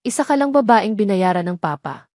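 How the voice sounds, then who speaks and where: A woman's voice: mid-range voice, expressive, fairly quick, darkish tone, no echo, studio quality, natural voice. A woman voicing defiant, hostile young boys in an audio story. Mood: cutting. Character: hostile young boys rejecting their new stepmother.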